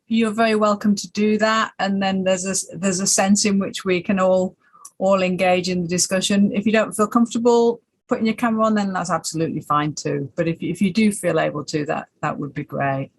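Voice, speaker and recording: A woman chairing an online academic seminar, her voice recorded close and dry. She speaks gently and a little haltingly, encouraging people to join the discussion. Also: mid-range voice, fairly even intonation, no room sound. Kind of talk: moderating an online Q&A. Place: online seminar, video call.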